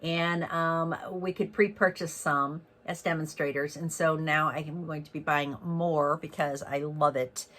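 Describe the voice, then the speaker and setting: A man with a head cold, sounding raspy and clogged up, chatting upbeat through a card-making demonstration as host. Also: on the high side, fairly even intonation, a little slow, hardly any pauses, sharp tone. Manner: chatty and upbeat, with many fillers and self-corrections. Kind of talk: casual card-making tutorial.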